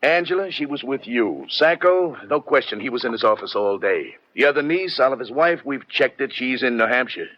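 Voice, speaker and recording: A proud American police lieutenant, acted by a man speaks in punchy, clipped slang. He is blunt, with a middling pitch. It sounds like a dull, old radio detective drama recording. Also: animated, a little fast, few pauses, natural voice. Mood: frustrated.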